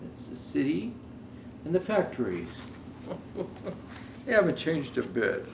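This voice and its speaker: A man's voice: fairly high pitch, big pitch swings, takes it slowly, vocal fry, exaggerated voice. A man playing Santa Claus as a jolly old storyteller, reading a children's storybook aloud with chatty asides. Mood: amused. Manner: jovial ho-ho greetings, chatty asides. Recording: roomy echo, faint background noise.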